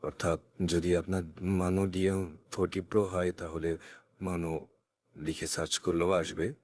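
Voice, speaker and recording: A man with a deep voice presents a software tutorial, explaining each step carefully and instructionally. His voice is captured close on a condenser microphone, with a very dry sound and little room in it. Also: flat delivery, measured pace, no room sound. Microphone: close USB condenser microphone.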